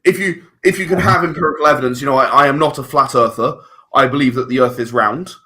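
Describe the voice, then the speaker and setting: Guest: man, forceful bright tone, halting and reflective. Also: medium pitch. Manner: thoughtful, restarts words often. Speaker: guest filmmaker. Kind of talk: casual podcast conversation.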